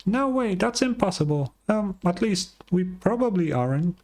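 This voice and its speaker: A man voicing a small, chatty female fairy companion from a game, excitable and a bit suspicious. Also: sits high, moderate pace, voice pushed up. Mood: doubtful. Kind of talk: game dialogue read in character.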